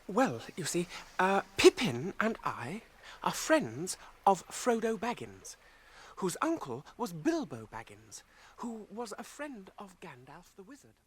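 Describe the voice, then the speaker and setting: An actor playing a polite, loyal young halfling traveller in a radio drama, earnest and inquiring. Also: male voice, high-pitched, huge pitch swings, unhurried, breathy edge, heavily acted. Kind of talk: British radio drama acting.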